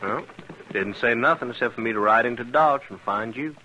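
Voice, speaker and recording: A man's voice: medium pitch, a little fast, sharp tone, lo-fi sound. A man acting as a surly, terse trail cowboy with dry humor in a 1950s radio western. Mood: blunt, guarded. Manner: terse, challenging. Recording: noisy old radio recording.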